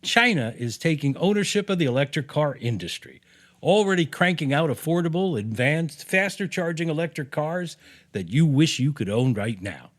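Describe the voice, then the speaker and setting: A man's voice: a little high, lively intonation, moderate pace. An American man reading his own opinion piece aloud in a punchy, sarcastic, salty-tongued way, as a podcast host.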